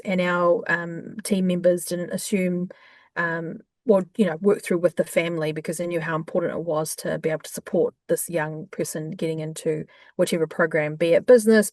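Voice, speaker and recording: A woman with a New Zealand accent, a guest in an interview, talking in a warm, chatty way with frequent fillers. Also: fairly low pitch, no room sound. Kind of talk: conversational interview.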